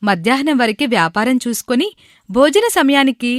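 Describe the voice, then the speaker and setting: A woman's voice: on the high side, expressive, normal speed, no echo, clean studio sound. A woman narrating a scripted moral story.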